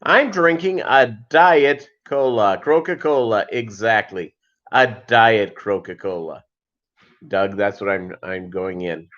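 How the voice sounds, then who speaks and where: A man hosting a casual live chat, rambling along with joking, deadpan asides. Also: on the low side, takes it slowly. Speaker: host of a live fishkeeping chat show. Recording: dull lo-fi livestream audio.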